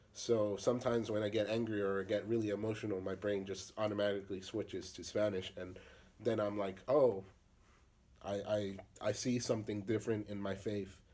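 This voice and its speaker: A young man from the United States answering interview questions in a halting, casual way, with many fillers and restarts. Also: on the low side, a little mellow.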